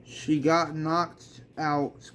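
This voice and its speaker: A man's voice: fairly high pitch, level tone, extremely slow, slight background noise. A man reading a story aloud in an amateur way, with rambling, informal asides and some swearing. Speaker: reader of a self-made manga story. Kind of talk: amateur story read-along with casual asides.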